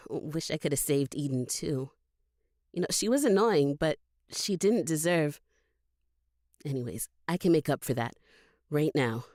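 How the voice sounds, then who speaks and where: A woman's voice: sits low, lively intonation, measured pace, long pauses, a little mellow, no room sound, clean studio sound. An actress playing a brash, cocky, sardonic female ghost hunter in an audio drama. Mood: dry, resolved.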